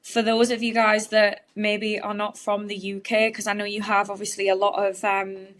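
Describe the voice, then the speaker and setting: A woman in her late twenties from northeast England, speaking in a broad Geordie accent. Her voice sits at an ordinary middle pitch. She answers interview questions openly and at length, in a chatty, easygoing way. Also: monotone, moderate pace, a bit bright. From Newcastle, northeast England. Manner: chatty and open, explains at length. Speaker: guest, bodybuilding competitor.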